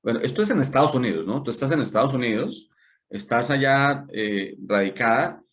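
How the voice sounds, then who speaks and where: A man's voice: medium pitch, lively intonation, fairly quick. A man hosting an interview, curious and hesitant, often rephrasing his questions as he goes. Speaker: interview host. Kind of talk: conversational interview.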